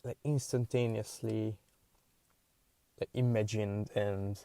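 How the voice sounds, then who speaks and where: A young man with a Hungarian accent, a podcast host thinking aloud in a halting, searching way with many restarts. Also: on the low side, fairly even intonation, creaky, dark tone, dry recording. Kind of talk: solo musing monologue.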